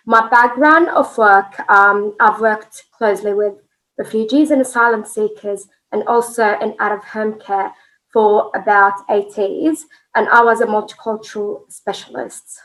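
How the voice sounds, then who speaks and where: A woman's voice: mid-range voice, steady tone, unhurried, fairly bright tone, low-quality recording. A woman from Iraq whose first language is Arabic, a family support manager introducing herself in a webinar, speaking with hesitant fillers.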